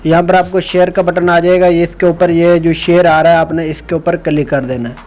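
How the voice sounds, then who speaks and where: A man's voice: a little high, little pitch movement, a little fast, a little mellow. A man hosting an informal step-by-step phone app tutorial. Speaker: tech tutorial host. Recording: music playing behind the voice. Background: music.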